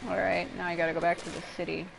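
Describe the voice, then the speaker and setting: A woman doing casual, rambling livestream commentary while playing a video game, informal and quick to exclaim at what happens. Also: lowish voice, flat delivery, creaky, background noise. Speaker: game streamer. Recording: game music behind the voice. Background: video game music.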